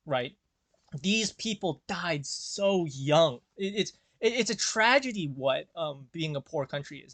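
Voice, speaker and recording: An earnest young man with an American accent talks casually, wandering off and restarting his sentences often. His voice sits a little high for a man. The recording is dry, with no room sound. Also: a bit bright, no room sound. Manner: rambling, many restarts and fillers, earnest. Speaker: video creator. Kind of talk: casual rambling commentary.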